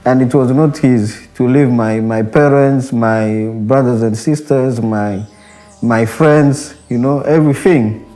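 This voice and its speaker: A young man with a Congolese accent and Swahili as his mother tongue, speaking haltingly and reflectively in English, often repeating phrases. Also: medium pitch, warm tone. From Democratic Republic of the Congo. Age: young adult. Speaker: Benedictine monastic brother, interviewee. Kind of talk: reflective personal interview. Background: background music.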